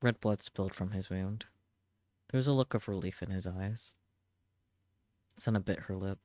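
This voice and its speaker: A man's voice: low voice, normal speed, darkish tone, a little hiss or hum. A man reading a visual novel aloud on a stream as dramatic story narration.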